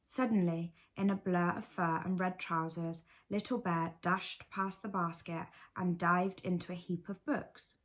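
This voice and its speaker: A woman's voice: lowish voice, fairly even intonation, a little slow, hardly any pauses. A woman reading a scripted children's bedtime story aloud as the storyteller.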